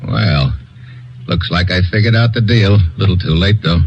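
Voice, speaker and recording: Tough wisecracking agent, low voice, animated delivery, dull old radio sound. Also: male voice, normal speed, a little hiss or hum. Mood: wry. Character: wisecracking tough male agent, middle-aged. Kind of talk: 1950s radio drama acting.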